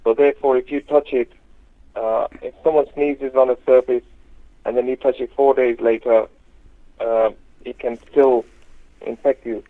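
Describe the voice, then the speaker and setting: Male doctor guest from Birmingham, on a tinny phone line, dry sound, measured and halting. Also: medium pitch, fairly even intonation, dry recording. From Birmingham, England. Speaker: GP, medical guest. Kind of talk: explanatory radio interview.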